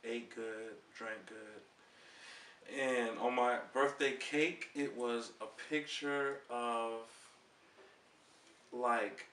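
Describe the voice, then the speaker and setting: A relaxed man spins an easy-going story as a guest on a casual talk show. He sounds far from the mic in an echoey room, with a faint background hiss. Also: mid-range voice, very slow. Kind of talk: casual interview chat.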